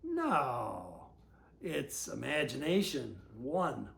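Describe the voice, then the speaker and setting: A woman voicing a young boy from a picture book, earnest and a little halting, with a proud imagination. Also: extremely low pitch, sing-song, creaky, voice pushed down, heavily acted. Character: young boy, downcast but proudly imaginative, storybook hero.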